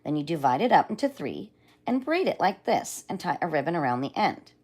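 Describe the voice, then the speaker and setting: A woman's voice: deep voice, very animated, fairly quick, darkish tone, breathy edge, full character voice. A woman doing a polite, clever little boy. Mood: coaxing. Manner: polite, coaxing.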